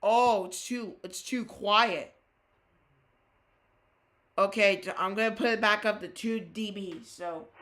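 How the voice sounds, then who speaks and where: Radio hobby host, casual and halting, crisp bright tone, spells out call signs. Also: medium pitch, steady tone. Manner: halting, restarts sentences, spells call sign phonetically. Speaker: ham radio operator and live-stream host. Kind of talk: casual live-stream chat with radio calls.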